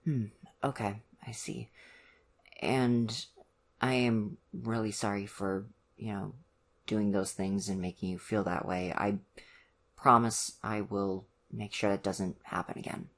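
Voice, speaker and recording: A performer playing an awkward, caring young college boyfriend in an ASMR roleplay, speaking hesitantly and gently to the listener. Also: deep voice, steady tone, heavily acted. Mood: apologetic, earnest. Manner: hesitant, gentle, awkward, many ums and pauses. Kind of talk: ASMR roleplay, one-sided conversation with the listener.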